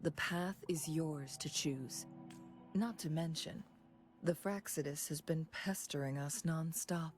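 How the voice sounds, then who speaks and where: A woman voicing a composed, courteous guide and strategist in a video game, speaking in a measured, formal and elegant way. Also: low voice, faint music behind. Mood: calm, measured.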